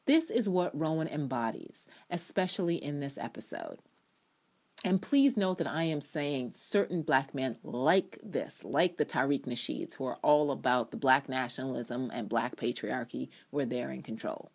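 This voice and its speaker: A woman with a low voice reads her own review essay aloud. Her delivery is emphatic and dramatic, with firm opinions stated as commentary. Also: animated, moderate pace, a little mellow, husky. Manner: emphatic, opinionated, acts out quotations. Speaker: TV show reviewer.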